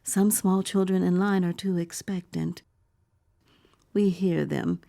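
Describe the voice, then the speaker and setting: An actress playing a naive visitor who narrates a horror audio drama in a deliberate, breathy voice that slips toward whispering. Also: female voice, fairly low pitch, fairly even intonation, warm tone. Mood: hushed anticipation. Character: dog-loving visitor narrating in a collective "we", naive, turning horrified. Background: music score.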